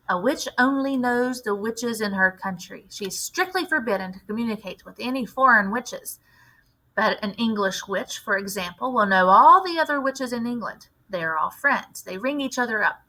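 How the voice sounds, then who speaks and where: Woman acting old storybook grandmother, matter-of-fact, grave, measured, crisp bright tone. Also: mid-range voice, rough recording. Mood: emphatic. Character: elderly Norwegian grandmother, firm, matter-of-fact, grimly knowing. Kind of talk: storybook read-aloud character voice.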